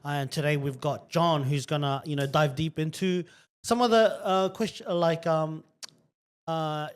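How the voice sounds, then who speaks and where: A man with a fairly high voice hosts with eager, rambling energy, chuckling as he goes. His long sentences wander and circle back before landing on the point. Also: steady tone, measured pace, smooth. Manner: enthusiastic, rambling, laughs between phrases. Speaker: podcast host. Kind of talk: casual show hosting.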